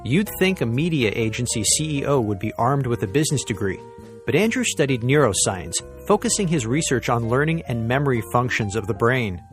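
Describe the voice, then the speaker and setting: A man hosting an interview podcast in a clear, presenter-like manner. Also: medium pitch, big pitch swings, brisk, soft background music. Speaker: podcast host. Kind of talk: scripted podcast introduction and interview questions.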